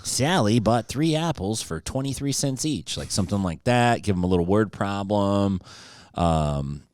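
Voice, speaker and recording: Male podcast host, low and lively, joking, laughs easily, dry close mic. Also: normal speed, no echo. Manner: joking, animated, laughs often. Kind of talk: casual chat.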